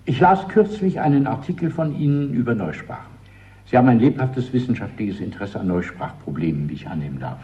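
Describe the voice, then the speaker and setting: An actor playing a courteous, guarded male party official in a radio drama, speaking politely and deliberately with an insinuating undertone. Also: medium pitch, animated, warm tone, highs cut off, natural voice.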